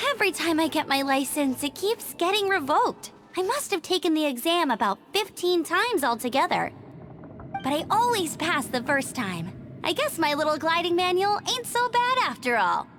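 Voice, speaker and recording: A woman's voice: very high-pitched, sing-song, normal speed, fairly bright tone, full character voice. A woman voicing a bubbly, bold young gliding champion in a video game, upbeat, chatty and eager. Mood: sheepish. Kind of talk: animated video game voice acting. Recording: game music behind the voice.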